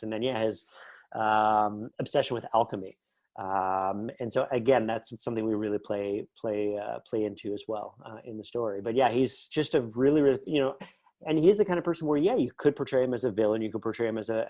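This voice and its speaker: Canadian man, middle-aged, lowish voice, casual interview style, enthusiastic and discursive. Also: dark tone, no room sound. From Northern Ontario, Canada. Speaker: podcast guest, writer.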